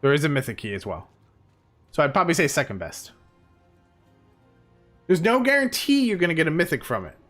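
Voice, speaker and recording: A man's voice: a little high, big pitch swings, fairly quick, long pauses, a bit bright, faint music behind. A man streaming a game live, chatting casually and rambling with plenty of fillers, tired and losing his voice.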